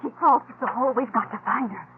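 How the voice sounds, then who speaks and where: A woman's voice: fairly high pitch, racing, natural voice. An actress playing an anxious, devoted frontier woman who speaks with breathless, pleading emotion in a 1950s western radio drama. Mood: frightened, urgent. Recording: tinny, noisy old radio recording.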